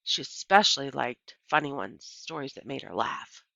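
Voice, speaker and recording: Woman reading as a gentle, thoughtful preacher dad, animated. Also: very deep voice, normal speed, vocal fry, no room sound, highs cut off, heavily acted. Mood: wistful. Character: gentle, thoughtful preacher father recalling his wife. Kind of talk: children's audiobook character voice.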